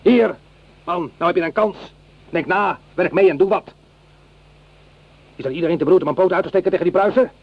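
Strict corporal, short-tempered and rapid, clipped commands, radio drama, muffled noisy recording. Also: male voice, high voice, creaky. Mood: angry, barking. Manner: barking, clipped military commands. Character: strict, short-tempered army corporal, brusque with his men. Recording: dull, noisy old radio play recording.

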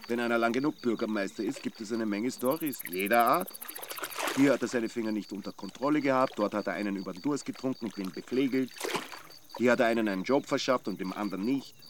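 A man acts an Austrian village local in a radio crime drama. His mid-pitched voice is wry and colloquial as he explains matter-of-factly, with clear insect buzz behind him. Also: quick pace, noisy background, close to an everyday voice. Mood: knowing, wry.

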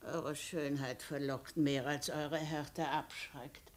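A low voice for an aged, mild royal servant. The delivery is respectful but candid and gently admonishing, in 1950s radio drama style. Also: female voice, fairly even intonation, slow. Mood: gently reproachful. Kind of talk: 1950s German radio play acting.

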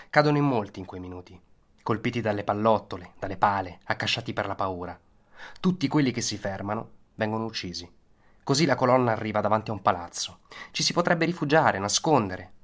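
Low-voiced man in solemn dramatic narration. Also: fairly bright tone. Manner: solemn storytelling in short, deliberate phrases with emphatic lists. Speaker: storyteller, narrator. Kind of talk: dramatic scripted narration of a historical account for radio. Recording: radio narration over musical soundtrack. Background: instrumental soundtrack with guitar.

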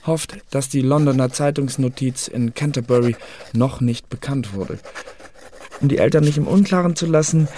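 An actor playing an officious secret service clerk who reads out reports in a formal, clipped way in a German radio drama. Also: male voice, medium pitch, moderate pace, mellow, a little hiss or hum, natural voice.

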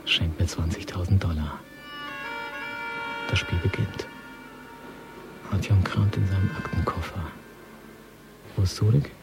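An actor playing a tense, cynical gangster in a radio drama monologue, muttering in short clipped phrases that often sink toward a whisper. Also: male voice, sits low, fairly even intonation, measured pace, gravelly, pauses often. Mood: cynical, watchful, then tense, hushed. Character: tense, cynical male Moscow gangster watching a handover. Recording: studio radio play with prominent music. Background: music.

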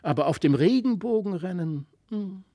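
A man voicing a timid, kind-hearted little girl in a storybook, speaking softly and hesitantly. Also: sits high, animated, moderate pace, no echo, studio quality, pitched above the performer's own voice.

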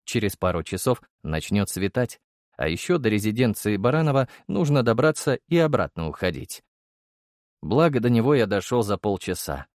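A man's voice: lowish voice, sing-song, moderate pace, a little mellow, no echo, studio quality. A man reading first-person fiction as a scripted audiobook narration.

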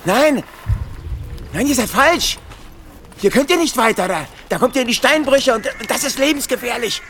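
An actor playing a simple, wary country carter in a German radio drama, blunt and halting, often repeating himself. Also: male voice, very high voice, animated, a bit bright, a little hiss or hum, heavily acted.

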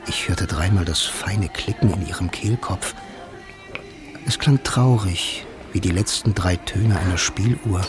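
An actor playing a lonely, wistful noir romantic who narrates his own story in a measured, deadpan way for a radio play. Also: lowish voice, close to an everyday voice. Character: blind lonely bank clerk, film-noir romantic, dry and wistful. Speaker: first-person narrator and lead.

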